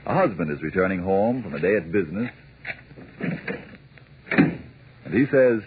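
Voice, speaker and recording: An American man announcing and reading a scripted sales pitch in 1940s radio style. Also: animated, measured pace. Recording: tinny, hissy 1940s radio recording.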